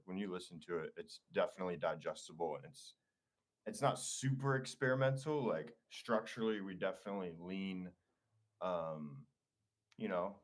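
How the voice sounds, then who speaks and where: A young man, a singer, talking in a laid-back, slangy way as an interview guest. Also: low voice. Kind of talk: casual interview chat.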